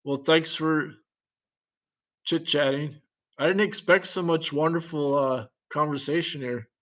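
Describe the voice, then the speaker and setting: A man's voice: fairly high pitch, unhurried, fairly bright tone. A man in casual conversation who thinks aloud, asks curious questions and chuckles between remarks. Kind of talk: casual chat.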